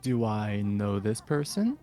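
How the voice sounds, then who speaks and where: A man's voice: on the low side, sing-song, takes it slowly, dark tone, faint music behind. A man voicing a firm, prying middle-aged mother in a comedic amateur fan fiction reading.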